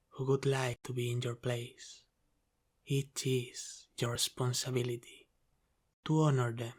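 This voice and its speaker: Male actor as mafia boss, Italian-American accent, extremely slow delivery, even pitch, teasing menace, ASMR roleplay, occasional whispers. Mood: stern, cool. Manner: slow, deliberate, teasing, with breathy sighs and whispered phrases.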